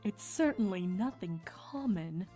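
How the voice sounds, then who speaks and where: A woman voicing a calm, gracious royal pony mentor in a cartoon audio drama, with gentle, measured phrasing. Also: mid-range voice, natural voice. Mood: thoughtful. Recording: music behind the voice.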